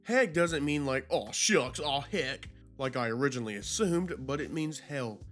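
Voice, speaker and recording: A man's voice: medium pitch, lively intonation, normal speed, a bit bright, soft background music. A man hosting a video commentary, narrating in an informal, slangy, conversational way. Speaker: YouTube host.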